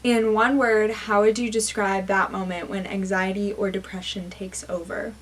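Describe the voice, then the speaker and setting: A woman's voice: medium pitch, little pitch movement, moderate pace, recorded from a distance, slight background noise. A young adult woman hosting her own video, talking in an earnest, chatty way and putting questions to her friends. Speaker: host and interviewer. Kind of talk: casual video narration.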